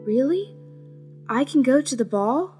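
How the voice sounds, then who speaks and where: A woman's voice: sits high, animated, a little slow, warm tone, faint music behind. A woman voicing a meek, sweet, downtrodden young girl in a children's fairy tale cartoon, timid and plaintive. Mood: wondering, unsure.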